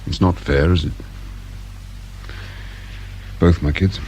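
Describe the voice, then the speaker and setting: Low-pitched, troubled English father. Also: fairly even intonation, slow pace, darkish tone. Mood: subdued grief. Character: distraught father of a kidnapped boy, tense, bitter. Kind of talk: naturalistic British radio drama acting. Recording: background noise and room ambience.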